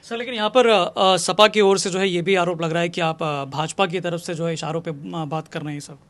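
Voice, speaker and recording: A man asking interview questions as a news reporter, phrasing them haltingly. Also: sits high, fairly even intonation, a bit bright.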